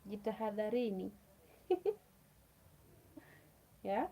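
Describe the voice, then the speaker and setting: A woman's voice: mid-range voice, expressive, slow pace, dark tone. A Kenyan woman whose first language is Luo, chatting casually to viewers on a livestream, repeating herself and speaking to them directly. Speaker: livestream host.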